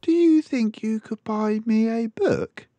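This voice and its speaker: An eager, polite little girl in a children's story, put on by a male reader. The voice is lifted well up in pitch and lilts earnestly, recorded dry and close. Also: takes it slowly, warm tone, no room sound. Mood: earnest, pleading. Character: polite, eager four-year-old girl asking her father.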